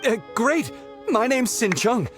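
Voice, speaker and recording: A man voicing a pushy, melodramatic young pilgrim in a video game, entitled and quick to take offence. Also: very high voice, big pitch swings, over music, full character voice. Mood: excited, pleading. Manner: melodramatic, pleading and indignant.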